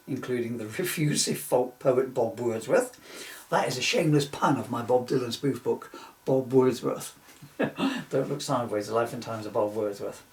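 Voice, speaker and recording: A man reading from his own book in an informal way, with jokey, self-mocking, rambling asides. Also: medium pitch. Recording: echoey room, distant mic.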